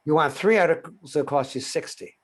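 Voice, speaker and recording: An older man leading a workshop, answering questions on a video call in a measured, explanatory way with soft chuckles. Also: on the high side. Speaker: workshop presenter and psychotherapy trainer.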